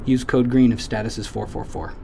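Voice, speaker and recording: A man playing an awkward software technician in a sci-fi audio drama, hesitant with people and matter-of-fact with machines. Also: mid-range voice, level tone, a little fast, creaky, a crowd in the background, natural voice. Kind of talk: naturalistic audio drama acting.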